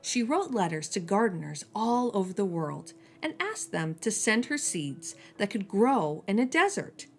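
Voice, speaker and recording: A woman reading a children's picture book aloud as a storyteller, slowing down and dropping soft and low on each refrain.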